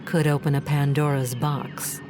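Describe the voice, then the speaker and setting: Slow, low-voiced woman narrating drama. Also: flat delivery, over music.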